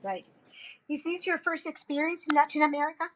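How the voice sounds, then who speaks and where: Female host: high voice, friendly questions, faint hiss. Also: level tone, fairly quick, low-quality recording. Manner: brief affirming interjections, friendly questions. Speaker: interviewer host. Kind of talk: conversational interview.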